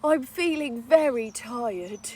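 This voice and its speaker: Tired, put-upon storybook father, played by a woman, slow with expressive swings. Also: a little high, slight background noise. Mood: weary.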